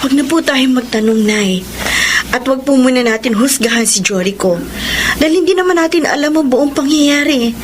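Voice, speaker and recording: A woman's voice: sits high, lively intonation, fairly quick. An actress playing a worried, caring and forgiving young woman in a Filipino radio drama. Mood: pained, gentle. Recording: clear background noise.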